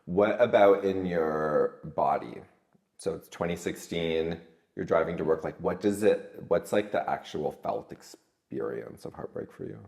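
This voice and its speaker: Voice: deep voice, animated, a little slow, gravelly, a little mellow. A podcast host asking probing, empathetic follow-up questions in a casual interview.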